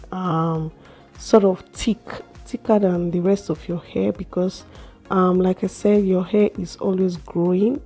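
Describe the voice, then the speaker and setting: A young woman with a slightly hoarse voice, chatting slowly through a hair care vlog in a small room. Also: fairly low pitch, mellow. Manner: slow, chatty explaining with fillers. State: a little hoarse from a cold. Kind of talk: casual how-to vlog.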